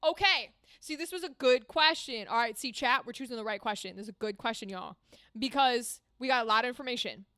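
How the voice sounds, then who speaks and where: A young American woman streaming a game, reacting excitedly and swearing freely as she plays. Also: fairly high pitch, fairly quick, no room sound, clean studio sound. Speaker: streamer playing games. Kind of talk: live game commentary.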